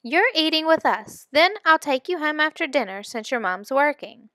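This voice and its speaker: A woman voicing a lively, teasing, no-nonsense mother in a storybook, with a brisk and emphatic delivery. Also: high voice, dry recording, clean studio sound, pitched above the performer's own voice. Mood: firm.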